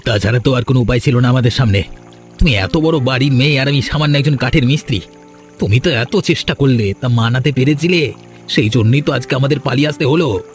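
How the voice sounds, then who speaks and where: A man playing a humble, protective young carpenter on the run with his sweetheart, earnest and excitable, in a cartoon. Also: mid-range voice, fast, faint music behind, lightly acted. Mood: earnest, reassuring. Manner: earnest, excitable, stammers when alarmed. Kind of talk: Bengali cartoon melodrama acting.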